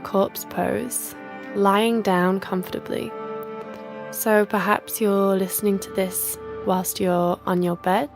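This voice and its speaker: A woman leading a guided yoga nidra relaxation as a teacher, speaking in a soothing, measured, instructional manner with gentle pauses. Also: medium pitch.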